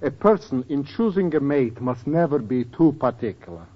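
An actor playing a wry, teasing older professor in an old radio sitcom, with theatrical joke-telling and odd turns of phrase. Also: male voice, mid-range voice, expressive, moderate pace, lightly acted. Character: wry, teasing older professor, grumbling fondly about women. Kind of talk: 1940s-50s radio sitcom acting. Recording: dull old-time radio recording.

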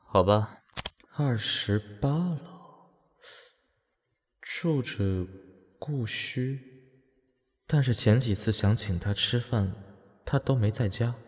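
A man's voice: mid-range voice, slow, pauses often, breathy edge. An actor playing an easily flustered, playful young man in an audio drama, with hesitant, stammering delivery. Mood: resigned. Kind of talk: Chinese audio drama acting.

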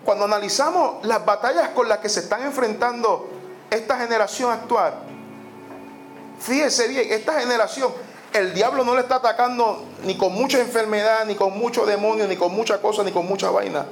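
A high-pitched man preaching, pressing his points by repeating phrases. He slips English "so" into his sentences. Soft music plays faintly behind him in the church. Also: moderate pace. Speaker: pastor. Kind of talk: sermon. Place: church sanctuary.

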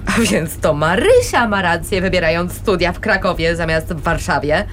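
A woman's voice: on the low side, animated, normal speed, fairly bright tone, a little hiss or hum. An actress playing an indignant, anxious middle-aged mother who argues defensively in a radio drama. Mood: hurt, incredulous. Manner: defensive, incredulous.

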